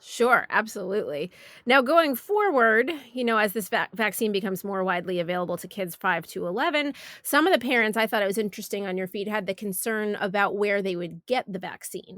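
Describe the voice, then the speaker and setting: A woman's voice: mid-range voice, fairly bright tone, no room sound, clean studio sound. A woman hosting a podcast interview, asking long, casual questions with conversational asides. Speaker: podcast host interviewing a guest.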